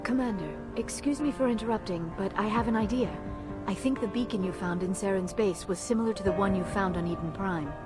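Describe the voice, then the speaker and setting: An actress voicing a gentle, scholarly alien scientist, polite and precise, in a video game cutscene. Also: female voice, medium pitch, a little fast, lightly acted. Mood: polite, eager. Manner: polite, soft-mannered, precise. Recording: loud music behind.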